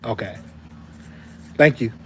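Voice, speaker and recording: A man with a mid-pitched voice talks in a laid-back, playful way, half-amused as he chats on a live show. Faint hum sits behind him. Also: lively intonation, slow pace, fairly bright tone, poor audio. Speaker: host. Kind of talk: casual live prank-call chat.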